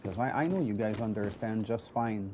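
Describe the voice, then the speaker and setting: Low-voiced French Canadian man chatting over gameplay. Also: moderate pace, noisy background. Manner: chatty and rambling, often restarting words, talks straight to viewers. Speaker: gaming video host. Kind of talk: casual gameplay commentary.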